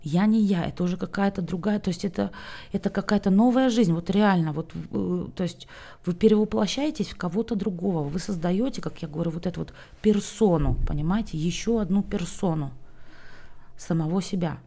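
A woman's voice: on the low side, fairly quick, gravelly, dark tone. A woman language teacher talking casually to the camera, chatty and rambling, with lots of fillers and frequent checks that the listener is following.

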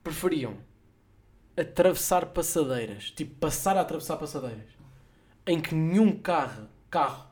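A man's voice: fairly high pitch, animated, normal speed, a little mellow. A young man with a European Portuguese accent chatting casually as a podcast co-host, slangy and full of swearing. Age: young adult. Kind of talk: casual banter with a friend. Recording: roomy, echoing room sound.

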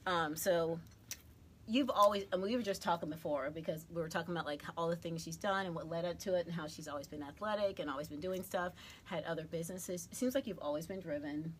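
A woman hosting a casual interview, chatty and quick to laugh, with lots of filler words. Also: on the low side, a little hiss or hum. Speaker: podcast host.

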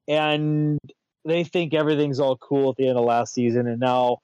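A man's voice: mid-range voice, flat delivery, moderate pace, a little mellow, clean tone, no room sound. A man hosting a casual pop-culture chat, rambling, restarting and repeating his words and chuckling as he goes. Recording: dull lo-fi dry sound.

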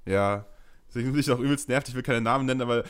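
A man co-hosting a casual podcast, slangy and quick, often restarting his sentences. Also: mid-range voice, clear, resonant tone. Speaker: podcast co-host.

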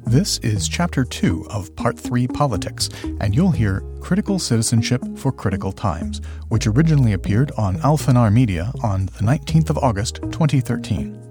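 A man's voice: medium pitch, expressive, normal speed, few pauses. A man hosting a podcast, reading a scripted introduction to an audiobook series. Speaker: podcast host. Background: music bed.

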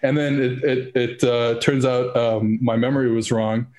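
A man's voice: mid-range voice, flat delivery, measured pace, darkish tone. Man, podcast host, rambling, awkward jokes, echoey room. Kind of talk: casual podcast chat.

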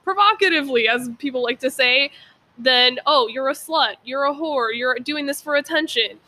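A woman's voice: high-pitched, moderate pace, pushed and bright. A young woman guesting on a podcast, talking casually and earnestly, emphatic about her opinions. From Persian background. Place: outdoors.

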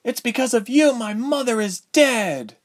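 A man's voice: high-pitched, expressive, measured pace, voice pushed up. A man voicing a defiant, bitter young woman in a fantasy story, terse and accusing. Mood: anguished anger.

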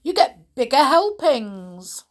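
A woman's voice: sits high, very animated, voice pushed up, exaggerated voice. A woman voicing a greedy, boastful enormous male crocodile, drawing out her words with relish. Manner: gloating, drawn-out words. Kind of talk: storybook read-aloud.

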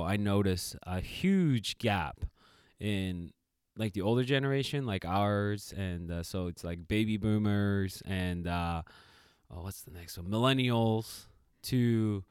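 A man's voice: on the low side, unhurried, clear, resonant tone, no room sound, clean studio sound. A middle-aged man co-hosting a podcast, telling stories reflectively with lots of fillers and restarts. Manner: reflective, animated storytelling with many fillers. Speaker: podcast co-host. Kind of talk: casual podcast conversation.

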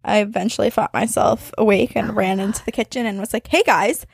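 A woman co-hosting a podcast in a casual conversational style, telling things in an animated way with wry humour. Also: mid-range voice, a little fast, vocal fry, breathy edge, dry recording, studio quality. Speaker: podcast co-host. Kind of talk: casual paranormal podcast chat.

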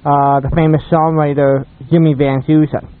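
An American man co-hosting a radio show, chatting casually and telling anecdotes in a rambling, halting way. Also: fairly high pitch, little pitch movement. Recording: thin phone-like radio sound.

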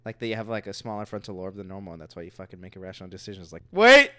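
A man's voice: sits low, big pitch swings, rapid-fire, pushed and bright, no echo, studio quality. A young man chatting casually as a podcast co-host, with slangy, informal speech. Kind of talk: casual chat.

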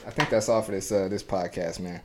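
A man co-hosting a casual podcast, telling rambling stories quickly with lots of fillers. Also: low-pitched, steady tone. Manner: fast, rambling storytelling with many fillers. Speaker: podcast co-host. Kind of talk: casual chat. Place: home podcast studio.

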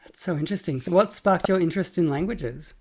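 A man hosting an interview podcast, asking questions in a measured, scripted-sounding way. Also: high-pitched, darkish tone, husky. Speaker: podcast host.

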